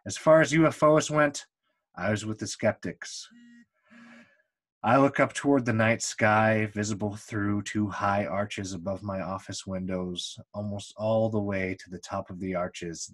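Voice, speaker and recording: A man with a fairly low voice reads from a book. He delivers the text deliberately, phrase by phrase, leaving pauses as he goes. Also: steady tone. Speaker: book reader. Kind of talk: reading a book aloud.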